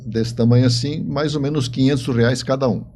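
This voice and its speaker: A man with a Brazilian accent talking casually as an interview guest, explaining things haltingly and often repeating himself. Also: medium pitch, fairly even intonation, mellow. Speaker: podcast guest, novelist. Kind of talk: casual chat.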